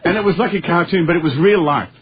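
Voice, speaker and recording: A middle-aged man, a guest musician, telling lively anecdotes in a casual radio interview. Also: on the high side, quick pace, slightly rough. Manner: animated storyteller, chuckles through anecdotes. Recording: dull lo-fi radio sound.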